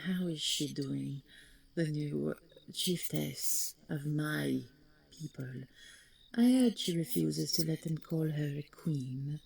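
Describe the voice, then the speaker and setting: A woman playing the vain, taunting ghost of a deposed dragon queen in an audio roleplay, speaking theatrically and mockingly with a breathy voice that slips into whispers. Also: dark tone, full character voice. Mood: proud, wounded. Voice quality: low, breathy, husky, creaky. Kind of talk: dramatic audio roleplay monologue.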